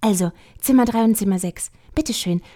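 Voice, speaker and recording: A literal-minded, officious receptionist at a small-town hotel acted by a woman in an audio drama. Her voice is dark and mellow, and she recites everything formally and at great length, down to the smallest detail. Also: medium pitch, a little fast, close to an everyday voice. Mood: formal, dutiful. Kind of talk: German audio drama acting.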